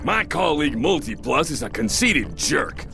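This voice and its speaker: A crooked robot policeman in a game, bullying and boastful. The voice is gravelly, raised in pitch and very lively, with music behind it. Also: normal speed, heavily acted. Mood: impatient, bossy. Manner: pushy, boastful. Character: corrupt, bullying, blustering robot cop. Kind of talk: scripted game dialogue.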